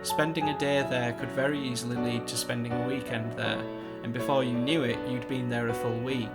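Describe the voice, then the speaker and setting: Man, eulogy narration, steady voice, loud guitar music behind. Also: medium pitch, fairly quick. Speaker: grandson giving a eulogy.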